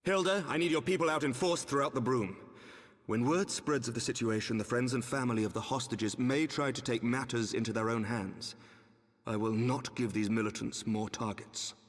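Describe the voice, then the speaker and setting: An actor playing a resolute, gravely courteous knight lord commander in a game, formal and measured in his delivery. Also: medium pitch, lightly acted. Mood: commanding. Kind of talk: dramatic game cutscene acting. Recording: long hall reverb.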